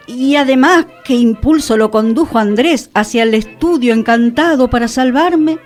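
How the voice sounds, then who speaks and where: An actress playing a whimsical, touchy radio hostess in a fantasy radio play, with theatrical, florid phrasing. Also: female voice, high-pitched, a little mellow. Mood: dramatic wonder. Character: whimsical, touchy radio hostess living among enchantments and dragons. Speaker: radio show host. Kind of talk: scripted radio theatre dialogue.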